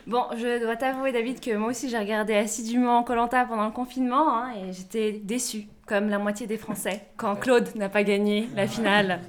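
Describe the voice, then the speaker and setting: Chatty woman with a fairly high voice. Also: fairly even intonation, fairly quick, few pauses. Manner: chatty, amused storyteller. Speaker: podcast co-host. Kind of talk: casual chat.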